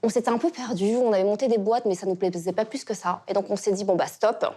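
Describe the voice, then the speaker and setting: A woman's voice: mid-range voice, steady tone, quick pace, dark tone. A woman from Belgium, co-founder of a decor shop, answering questions casually with many hesitations. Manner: hesitant, many fillers, friendly.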